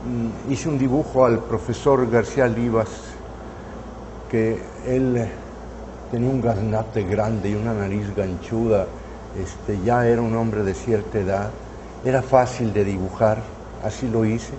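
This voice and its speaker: A man in his seventies from Mexico, reminiscing in an interview in his studio, unhurried and full of hesitant fillers. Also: on the low side, steady tone, background noise. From northern Mexico. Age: 70s. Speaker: painter interviewee. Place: artist's studio, indoors. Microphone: lapel mic.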